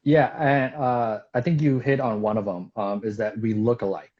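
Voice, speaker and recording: A man who spoke Vietnamese as his first language, a guest talking casually in an easygoing, halting way with frequent ums. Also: medium pitch, fairly even intonation, lo-fi sound. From United States. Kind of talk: casual conversation.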